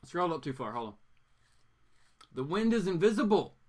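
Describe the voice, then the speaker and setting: A man hosting a casual livestream, chatting to viewers in a rambling, animated, geeky way. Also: sits high, moderate pace. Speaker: host of a comics reading show.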